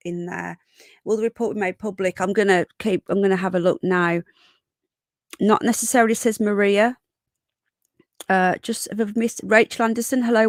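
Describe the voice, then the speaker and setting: A middle-aged British woman hosts a live stream, reading out news and chatting with viewers in a friendly way. Her voice is croaky and stuffed up from a cold. She sits at a middling pitch, and the sound is dry and close. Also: a bit bright, no room sound. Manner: chatty, reads chat comments aloud and greets viewers. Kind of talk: casual live stream commentary.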